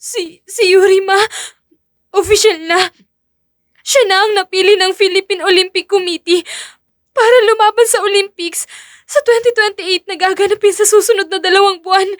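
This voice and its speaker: A woman's voice: very high-pitched, steady tone, normal speed, fairly bright tone, dry recording, studio quality. A woman playing a bitter, envious young female athlete in an audio drama, with a whiny, tearful, stammering delivery. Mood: shaken.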